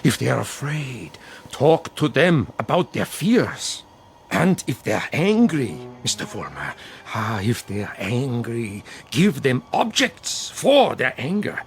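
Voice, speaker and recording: An actor playing a sinister dead dictator who mentors from the shadows in a radio drama, with a theatrical, insinuating, oratorical manner. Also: male voice, mid-range voice, sing-song, slow. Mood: fervent, oratorical.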